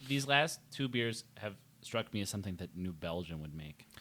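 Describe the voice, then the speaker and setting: A young man co-hosting a casual beer review, reasoning aloud in a measured way with dry jokes. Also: sits low, lively intonation, bright, forceful tone. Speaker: podcast co-host reviewing beer. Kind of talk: casual chat.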